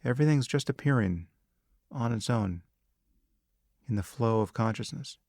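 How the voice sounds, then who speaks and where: A man leading a guided meditation, giving calm, measured instructions with long pauses. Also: medium pitch, vocal fry, no room sound, clean studio sound.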